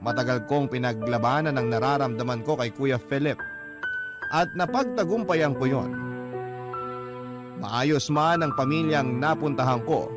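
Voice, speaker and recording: A man with a Filipino accent reading a scripted first-person narration for a radio drama. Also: medium pitch.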